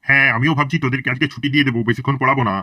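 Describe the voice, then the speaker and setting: A man's voice: medium pitch, little pitch movement, very fast, bright, forceful tone, no echo. A man voicing a sly cartoon schoolteacher who talks in a sweet, mock-kind way. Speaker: teacher. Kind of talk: cartoon voice acting.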